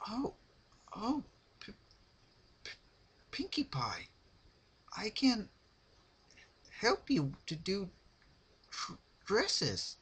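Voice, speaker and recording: A man voicing a frightened, refined female cartoon pony with a raspy, breathy voice, stammering and gasping. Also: high-pitched, wildly swooping pitch, drawn-out words, long pauses, a bit bright, pitched above the performer's own voice, full character voice. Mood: desperate pleading. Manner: stammering, gasping between words.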